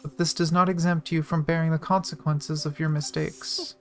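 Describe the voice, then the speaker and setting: A woman's voice: low-pitched, little pitch movement, normal speed. A young divine priestess who leads her island in a video game. She is composed and principled, choosing her words with formal, diplomatic care. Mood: firm, composed. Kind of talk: scripted video game acting.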